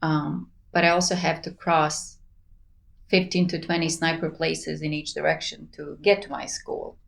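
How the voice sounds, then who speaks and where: A Bosnian-accented woman in her forties talks earnestly as an interview guest, her voice sitting low with a gravelly fry at the edges. She sounds enthusiastic and chuckles between thoughts. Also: little pitch movement, unhurried. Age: 40s. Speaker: plant pathology researcher, podcast guest. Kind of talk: casual interview conversation.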